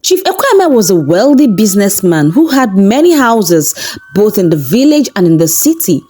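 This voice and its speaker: A woman narrating a scripted folktale in a dramatic, expressive storytelling manner. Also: mid-range voice, normal speed, slightly rough. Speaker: storyteller, channel host. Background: background music.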